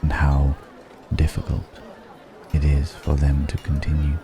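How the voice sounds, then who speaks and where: An extremely low, gravelly voice for a mysterious ancient dragon in the guise of a stranger, acted by a man. He speaks very slowly and intimately, weighing each phrase with long pauses, like a brooding philosopher in a fantasy ASMR roleplay. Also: completely flat delivery, music in the background, a crowd in the background. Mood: quietly reproachful. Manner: deliberate, pensive, with long dramatic pauses. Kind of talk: ASMR roleplay, slow intimate dramatic monologue.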